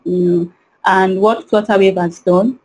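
Husky woman speaking earnestly. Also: mid-range voice, fairly even intonation, unhurried, darkish tone, poor audio. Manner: earnest, repeats "you know". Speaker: panelist. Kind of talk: online panel discussion.

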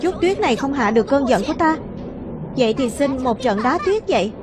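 A woman dubbing a young, excitable adventurer in an animated fantasy cartoon. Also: sits high, brisk, over music. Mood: lively. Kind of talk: Vietnamese cartoon dub.

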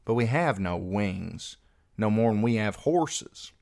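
Solemn boy beyond his years, read by a man, cautious, measured and slow, for a storybook. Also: fairly low pitch, animated, vocal fry, a little mellow, no echo, studio quality, pitched above the performer's own voice, heavily acted. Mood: sharp. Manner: grave, measured, foreboding.

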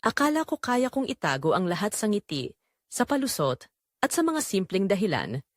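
A woman's voice: mid-range voice, big pitch swings, normal speed, no echo, clean studio sound, natural voice. A woman playing a guilt-ridden married mother confessing a secret affair, narrating in the first person in an emotive, confessional manner. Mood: guilty, troubled.

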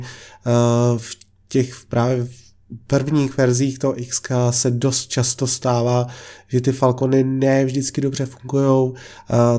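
Chatty Czech man, flat dark voice. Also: medium pitch. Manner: chatty, heavy filler words, blunt opinions. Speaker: car vlogger. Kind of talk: casual car listing commentary.

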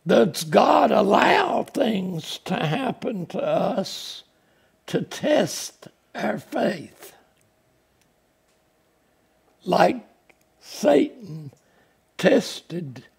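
A man's voice: high-pitched, sing-song, drawn-out words, vocal fry, breathy edge. An older man preaching a folksy, plainspoken sermon from the pulpit of a small church, speaking through a lapel mic. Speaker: pastor.

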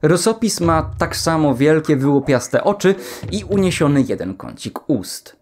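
A man's voice: on the high side, expressive, unhurried, darkish tone, a little hiss or hum. A man giving scripted but casual video commentary, sardonic and slangy, close to a studio microphone. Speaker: video essay host. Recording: music behind the voice.

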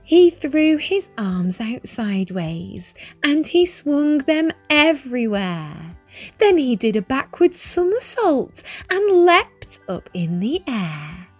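High-voiced woman reading a children's story, unhurried. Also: sing-song. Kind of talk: expressive picture-book read-aloud. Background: music.

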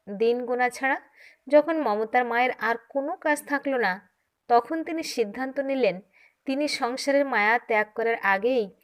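A woman narrating a story in an expressive, dramatic audiobook style. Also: a little high. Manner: dramatic, acts out dialogue.

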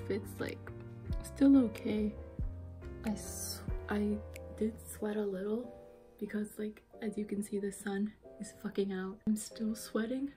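Candid young woman vlogging, swearing. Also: mid-range voice. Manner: candid, swears freely, drops to whispers. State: losing her voice. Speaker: beauty vlogger. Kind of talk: casual vlog chat.

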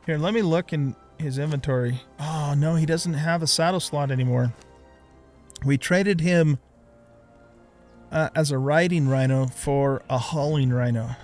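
A man's voice: a little high, a little slow, a little mellow, soft background music. A middle-aged man giving casual let's play commentary on a video game, thinking aloud and muttering to himself.

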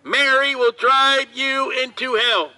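A man preaching outdoors at a market, his voice pitched very high. He speaks very slowly, stretching his words. His tone is sharp and piercing, picked up from across the street. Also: steady tone, clean tone, soft background music, low-quality recording. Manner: slow, drawn-out shouted preaching. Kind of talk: open-air street preaching.

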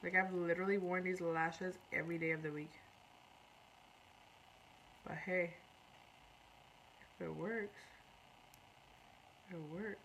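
Woman, 30s, makeup artist going live; bright voice, slight hiss behind her. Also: on the low side, fairly even intonation, slow, pauses often, low-quality recording. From Iran. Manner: chatty, reads out viewer comments while working. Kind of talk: casual livestream makeup tutorial. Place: small indoor room before a curtain.

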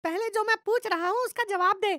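A performer voicing a cheeky, excitable young boy in a comedy cartoon, teasing as he talks. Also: very high-pitched, fairly quick, background noise. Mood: eager. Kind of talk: animated Hindi comedy skit dialogue.

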